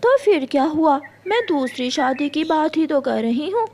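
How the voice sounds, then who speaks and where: A woman's voice: high-pitched, animated, moderate pace, a little mellow. A woman voicing a bold, scheming female bird, a gossiping temptress in a cartoon story, forward and insinuating. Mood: forward, persuasive. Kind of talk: Hindi cartoon story acting.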